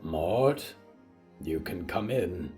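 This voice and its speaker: A man voicing a calm, gentle, perceptive woman in an audio horror drama, soft and unhurried. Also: deep voice, breathy edge, faint music behind, pitched below the performer's own voice, full character voice. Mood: calm, conciliatory.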